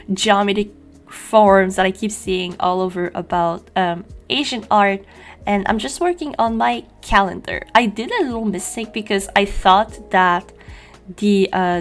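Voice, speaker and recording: A young woman from Quebec with a French-Canadian accent, a native French speaker, chatting casually in a voice-over as she draws, often pausing with ums and you-knows. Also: medium pitch. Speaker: journaling channel host. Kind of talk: casual voice-over narration while drawing.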